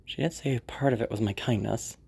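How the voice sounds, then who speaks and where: A woman's voice: extremely low pitch, a little fast, gravelly, a little mellow, husky, exaggerated voice. A woman voicing a sociopathic rich young woman who mutters her plans to herself and swears a lot. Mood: calm scheming. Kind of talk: audio roleplay monologue.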